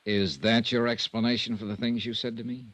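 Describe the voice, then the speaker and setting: An actor playing a self-assured insurance investigator in a 1950s radio detective drama, speaking in a clipped, dry, sardonic way. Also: male voice, medium pitch, flat delivery, fairly quick, a bit bright, no echo, close to an everyday voice. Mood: pressing, impatient. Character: hard-boiled wry male insurance investigator, self-assured. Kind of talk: 1950s radio detective drama acting and first-person narration.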